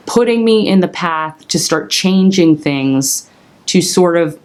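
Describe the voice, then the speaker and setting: A young adult woman with a commanding voice, telling her personal story to the camera in a candid, expressive way. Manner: expressive and candid, with lively jumps in pitch. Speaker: vlogger.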